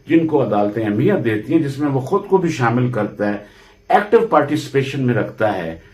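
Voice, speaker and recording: A man giving an explanatory law lecture, emphatic and deliberate, restating his points as he goes. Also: medium pitch, echoey room. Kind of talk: explanatory lecture mixing Urdu with English legal terms.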